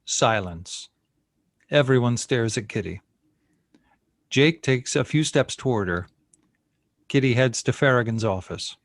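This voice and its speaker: A man's voice: medium pitch, expressive, unhurried, pauses often, dry recording. A man reading out scene headings and stage directions as narrator of a screenplay.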